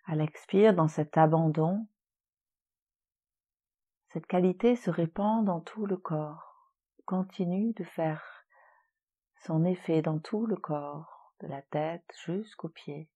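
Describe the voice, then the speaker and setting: A woman leading a guided relaxation meditation in a soothing, gentle, unhurried manner with long pauses. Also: on the low side, husky, dull audio. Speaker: yoga nidra relaxation guide.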